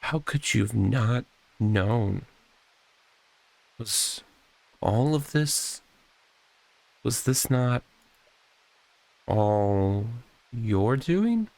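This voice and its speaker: A man's voice: mid-range voice, expressive, creaky, a little mellow, no echo, heavily acted. A man voicing a needy, self-pitying police officer from a visual novel, stammering and repeating himself. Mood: accusing, intense. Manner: halting, stammering, repetitive.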